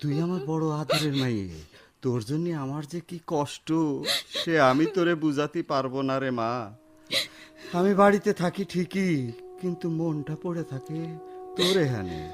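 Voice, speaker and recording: An actor playing an aging, tender and sorrowful village father in a Bengali radio drama, with a rural Bangladeshi accent and drawn-out, emotive speech. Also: on the high side, crowd noise, lightly acted. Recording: noisy radio drama recording with clicks.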